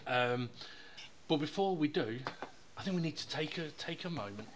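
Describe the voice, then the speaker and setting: A man hosting a podcast in casual chat, relaxed and steering the show along. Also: fairly high pitch, moderate pace, background noise. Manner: relaxed, lots of fillers, runs the show. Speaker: podcast host.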